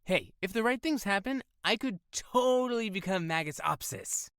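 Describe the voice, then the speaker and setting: A woman playing a playful, excitable teenage kid who loves comics, in a slangy audio drama performance. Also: medium pitch, normal speed, no echo, clean studio sound. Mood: playful, excited. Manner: slangy, animated. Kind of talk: naturalistic audio drama acting.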